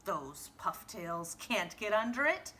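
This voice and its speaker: A woman voicing an excitable, stubborn man gardener from a storybook, emphatic and exclaiming. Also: mid-range voice, slow pace, slight background noise, exaggerated voice. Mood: huffy, boastful.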